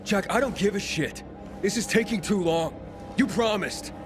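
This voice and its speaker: A man's voice: high-pitched, moderate pace, music in the background, natural voice. An actor playing an impatient, weary young male survivor in a video game, blunt and sardonic. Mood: frustrated, angry. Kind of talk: cinematic game dialogue.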